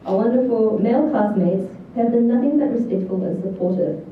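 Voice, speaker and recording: A woman's voice: on the high side, fairly quick. A woman in her thirties with a New Zealand accent, giving a prepared graduation speech as a student valedictorian. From New Zealand, born in China. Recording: echoey hall, distant, thin lo-fi, faint noise.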